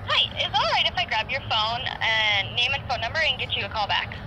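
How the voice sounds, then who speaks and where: A woman's voice: on the high side, lively intonation, a little fast. A woman working as a police dispatch call taker, speaking briefly and politely on the phone. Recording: noisy phone line, outdoor traffic noise.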